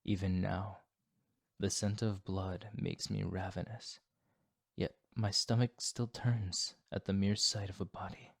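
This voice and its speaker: A man voicing a remorseful male vampire fighting his bloodlust, in a solemn, hushed, formal ASMR roleplay monologue. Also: low voice, level tone, unhurried, vocal fry, no room sound, clean studio sound, full character voice. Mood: self-loathing.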